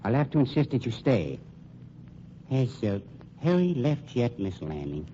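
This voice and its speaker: An actor playing a coolly menacing funeral home owner with silky, formal politeness in an old-time radio crime drama. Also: male voice, medium pitch, measured pace. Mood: smooth, mocking. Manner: formal, silkily polite, deadpan. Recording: muffled, noisy old radio recording.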